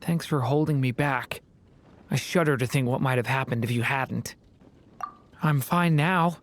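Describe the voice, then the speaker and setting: An actor voicing a gentle, earnest, hesitant young man in a video game. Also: mid-range voice, measured pace, close to an everyday voice. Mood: subdued, earnest. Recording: background music under voice.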